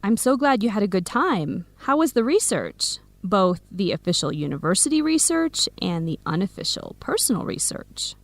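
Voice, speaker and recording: Lively, teasing American best friend. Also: female voice, medium pitch, moderate pace, gravelly, few pauses, no echo. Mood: excited. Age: young adult. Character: Samira, teasing, protective young woman best friend. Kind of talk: scripted radio drama dialogue.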